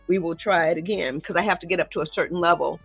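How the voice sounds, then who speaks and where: A middle-aged woman with a drawl and a nasal, stuffy voice talks loosely to her live-stream viewers, calling them "y'all" and laughing between remarks. Her voice has a breathy, husky edge. It comes through a thin, phone-like stream that sounds dry and close. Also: on the low side, fast talker, no echo, faint music behind. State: congested. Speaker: art teacher live-streaming a painting lesson. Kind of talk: casual live-stream chat while setting up. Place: home art studio. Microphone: desk microphone near the table. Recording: tinny, narrow-band live-stream audio.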